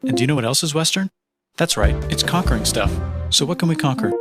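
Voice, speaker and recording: Deadpan male narrator over loud music. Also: medium pitch, fast. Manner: deadpan, punchy short phrases. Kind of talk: scripted comedic history narration.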